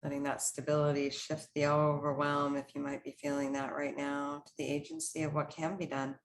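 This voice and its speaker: Low-pitched woman guiding a meditation. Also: monotone, a little hiss or hum. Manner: slow, pausing, soothing instructional delivery in short phrases. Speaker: meditation guide. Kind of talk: guided meditation.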